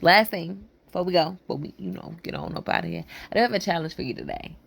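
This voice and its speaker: Hoarse, gravelly-voiced woman podcast host. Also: lowish voice, wildly swooping pitch, quick pace, fairly bright tone. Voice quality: raspy, froggy voice. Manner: loose, rambling encouragement with casual slang. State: hoarse and sleep-deprived. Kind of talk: motivational podcast monologue.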